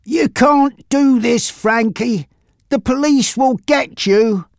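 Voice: medium pitch, slow pace, gravelly, dry recording, clean studio sound, pitched above the performer's own voice. A narrator voicing a brave, defiant young man under threat in an audiobook story, with a strained, stubborn manner. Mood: strained defiance.

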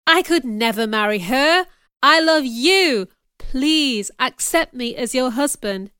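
A woman voicing a commanding, ardent gentleman in a storybook, with an earnest, emphatic delivery. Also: on the high side, lively intonation, unhurried, clean tone, dry recording, studio quality. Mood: ardent pleading. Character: Mister Rochester, commanding, ardent gentleman in love.

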